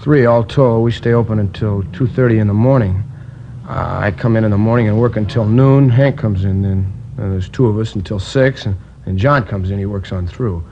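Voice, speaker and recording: An actor playing a talkative, indignant liquor store manager recounting a robbery in a 1950s radio crime drama, rambling with hesitations. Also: male voice, lowish voice, normal speed, slight background noise, natural voice. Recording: dull old radio transcription.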